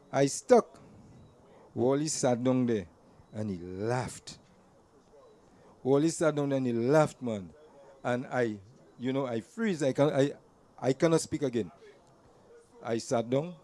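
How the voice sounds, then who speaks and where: Man, warm mellow tone, earnest, restarts and repeats words, speech to a crowd. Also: medium pitch. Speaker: invited speaker at a community gathering. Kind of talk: personal storytelling speech to an audience. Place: community event venue.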